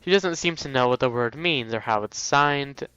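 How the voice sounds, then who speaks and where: A man's voice: medium pitch, normal speed, pushed and bright, clean tone, no echo, lo-fi sound, soft background music. A man reading a story game aloud in a casual let's play style, stumbling over words and breaking off into asides.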